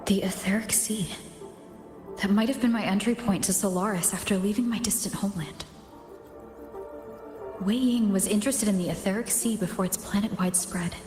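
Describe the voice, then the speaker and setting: An actress playing a calm, thoughtful wanderer in a video game, speaking reflectively. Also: female voice, mid-range voice, moderate pace, long pauses, music in the background, natural voice. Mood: calm, pensive. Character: calm, thoughtful female wanderer far from home. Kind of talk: scripted video game dialogue.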